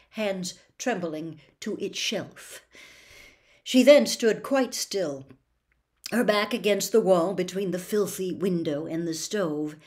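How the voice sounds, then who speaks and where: An older woman narrating a storybook as host, with a theatrical, expressive delivery. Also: lowish voice. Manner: theatrical, animated. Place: small home room with bookshelves.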